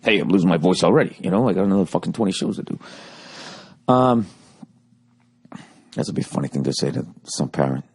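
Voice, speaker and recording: A man in his forties with a Boston accent, ranting sarcastically and profanely in a rambling comic monologue, his voice going a little hoarse. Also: low-pitched, expressive, fairly quick, pauses often, a little mellow. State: voice getting hoarse. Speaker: podcast host.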